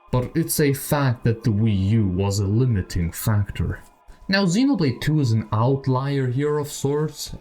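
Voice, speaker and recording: Male video essay host, warm dark tone, conversational and speculative, soft music bed underneath. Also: mid-range voice. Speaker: video creator, channel host. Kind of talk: video essay commentary on video games. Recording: music behind the voice. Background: background music.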